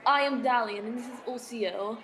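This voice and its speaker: A teenage actress playing a polite traveling messenger in a student fantasy radio drama. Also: female voice, on the high side, moderate pace, fairly bright tone, lightly acted. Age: teens. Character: polite, weary traveling messenger on urgent errand. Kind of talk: student radio theatre acting.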